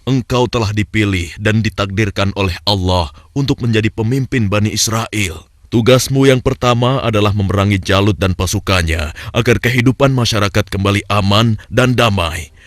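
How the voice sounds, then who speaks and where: A man voicing a calm, authoritative, reassuring prophet in an Islamic cartoon. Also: on the low side, moderate pace, dry recording, natural voice.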